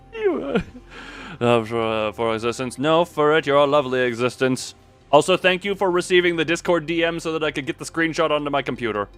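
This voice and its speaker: A man with a fairly high, very animated voice commentates a game on a livestream. He is excitable and sweary, prone to giggling as he reads aloud. Faint music plays behind him. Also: moderate pace, clear, resonant tone. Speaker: livestreamer playing and reading a story game.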